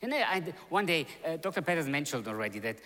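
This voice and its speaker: A man, born in Russia and raised in Germany, preaching a chapel sermon in animated storytelling that jumps from loud to soft and pauses to search for words. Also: fairly high pitch. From born in Russia, grew up in Germany. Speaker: seminary chapel speaker. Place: large chapel hall. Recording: long hall reverb.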